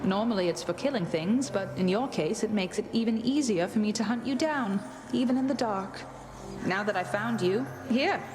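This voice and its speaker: An actress playing a cool, confident huntress goddess in a video game, plain-spoken and self-assured. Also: female voice, mid-range voice, moderate pace, background noise, close to an everyday voice.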